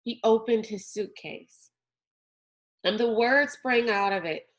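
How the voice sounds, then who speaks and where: A woman narrating a children's novel aloud in a deliberate, expressive storytelling manner. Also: a little high.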